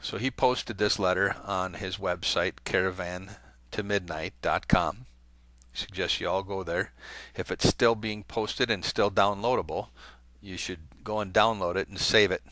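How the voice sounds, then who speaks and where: Male channel host, low-ish voice with a forceful edge, reads a letter aloud conversationally, stumbling now and then, dry studio sound. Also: a little slow, no echo. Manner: conversational, with hesitant fillers and self-corrections. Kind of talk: reading a letter aloud to viewers.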